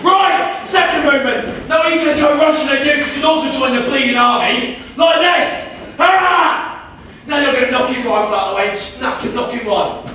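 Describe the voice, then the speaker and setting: A man playing a gruff army drill sergeant who barks orders and insults recruits, performing live before an audience. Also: very high-pitched, a little fast, a little hiss or hum. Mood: barking. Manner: barking orders, insulting, shouting. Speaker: reenactment performer. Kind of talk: live historical drill demonstration. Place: large indoor hall. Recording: echoey hall from far off, crowd.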